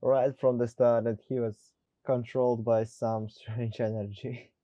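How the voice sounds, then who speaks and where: A man's voice: medium pitch, little pitch movement, unhurried, dry recording, muffled recording. A man giving casual, off-the-cuff commentary as he plays through a video game. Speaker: gaming video creator. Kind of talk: casual let's play commentary. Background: game music.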